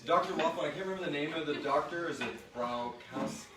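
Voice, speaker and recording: A man's voice: on the high side, fast, a crowd in the background. A man hosting an informal, jokey live Q&A as a podcast creator, reading questions and chatting through the answers. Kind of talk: casual live Q&A chat. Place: room with group on live stream. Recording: roomy, distant mic, noisy live stream.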